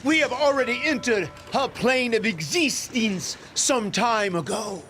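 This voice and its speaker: A man's voice: extremely high pitch, expressive, a little slow, sharp tone, a little hiss or hum, heavily acted. An actor playing an eccentric mystical ferryman in a video game, speaking in flowery, grandiose, theatrical lines. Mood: ominous.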